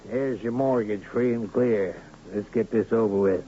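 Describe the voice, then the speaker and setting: An actor playing a ruthless, bullying gambler who runs a Western town, sneering and menacing, in radio drama style. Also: male voice, lowish voice, measured pace, close to an everyday voice. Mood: hard, suspicious. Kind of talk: 1970s radio drama acting. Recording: muffled, noisy 1970s radio drama recording.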